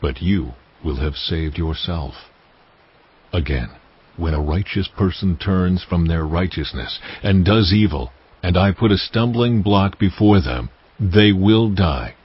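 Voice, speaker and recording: Stern, commanding God for scripture drama: deep and solemn, slightly muffled recording with music behind. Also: male voice, expressive, breathy edge, full character voice. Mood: grave, warning. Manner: solemn, weighty, deliberate delivery.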